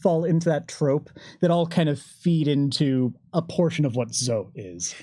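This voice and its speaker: A man talking unscripted as a game developer on a panel, articulate and measured, pausing in mid-thought. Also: fairly high pitch, dark tone, no room sound, studio quality.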